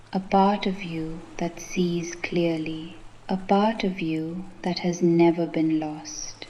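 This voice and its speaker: Very slow, mellow woman guiding meditation. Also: on the low side, lo-fi sound, a little hiss or hum. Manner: slow, gentle, soothing, with pauses. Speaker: meditation guide. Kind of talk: guided meditation narration.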